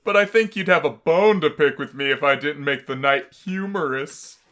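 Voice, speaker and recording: A man's voice: a little high, moderate pace, a bit bright, lightly acted. A man voicing a smug, laid-back skeleton comedian with a punning, showman-like delivery for a visual novel. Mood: jokey, showy. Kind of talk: fan visual novel voice-over.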